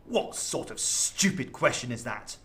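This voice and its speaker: A man's voice: mid-range voice, sing-song, unhurried, fairly bright tone. A man acting in a radio drama as an exasperated government diplomat, sarcastic and accusing. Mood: exasperated, scathing.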